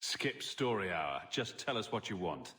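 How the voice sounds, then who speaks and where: Lively, sardonic adventurer. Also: male voice, low voice, a little slow, exaggerated voice. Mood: blunt. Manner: sarcastic, blunt. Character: sardonic male adventurer hero, brash, impatient. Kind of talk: video game voice acting.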